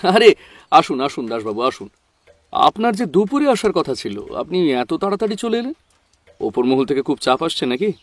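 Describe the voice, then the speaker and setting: A man's voice: a little high, very animated, normal speed. A man playing a genial, self-assured, lightly teasing private detective in an audio drama. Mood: welcoming. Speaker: actor in an audio detective story. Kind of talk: Bengali audio drama acting.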